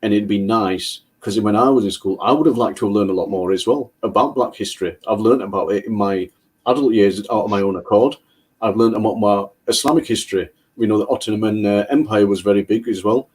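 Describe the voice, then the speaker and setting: A man co-hosting a panel discussion, from Britain, talking in an earnest and measured way. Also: fairly low pitch, a little mellow, husky.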